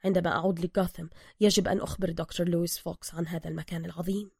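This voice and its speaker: A woman acts out a male masked vigilante in an audio story, stern and exacting. He speaks in formal, bookish phrases at a quick clip. The sound is close and dry. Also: on the low side, fairly even intonation, creaky, darkish tone, breathy edge, no echo, voice pushed down. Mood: pleased, earnest.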